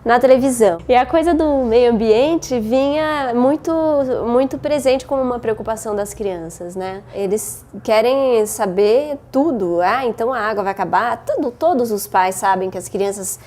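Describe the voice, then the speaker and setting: Brazilian woman, slightly high voice, casually recounting. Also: normal speed, hardly any pauses, mellow. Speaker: animation creator, interviewee. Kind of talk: casual interview storytelling.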